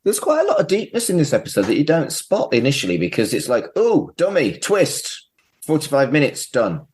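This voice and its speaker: A man's voice: a little high, huge pitch swings, brisk, darkish tone. A man hosting a podcast in casual discussion, giving his opinions in a blunt way. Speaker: podcast host.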